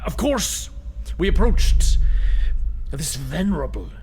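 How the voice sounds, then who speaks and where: An actor playing a reanimated male river-folk being, thousands of years old, who speaks politely and flatteringly. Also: fairly high pitch, slow pace, slight background noise, full character voice. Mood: pleading. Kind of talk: fiction podcast acting.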